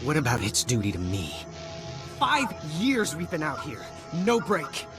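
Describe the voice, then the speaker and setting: Weary deserter soldier for a game, higher register, evasive and desperate, clear music bed. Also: male voice, huge pitch swings. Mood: bitter, despairing. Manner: evasive, halting, pleading. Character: weary, desperate young male army private, a deserter. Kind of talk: video game voice acting.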